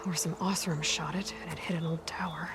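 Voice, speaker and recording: An actress playing a pragmatic young heroine in a video game, frank and understated, reasoning with wry compassion. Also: female voice, deep voice, steady tone, fast, noisy background, natural voice. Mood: wry concern. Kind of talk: video game cutscene acting. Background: music.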